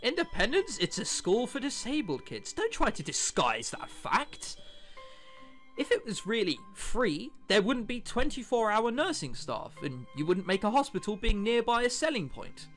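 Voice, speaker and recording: A man's voice: high-pitched, big pitch swings, moderate pace, a bit bright, soft background music. A man reading the part of a bitter, sardonic teenage boy in a visual novel. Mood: indignant. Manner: indignant, brooding, sardonic. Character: bitter, sarcastic teenage boy with a heart condition.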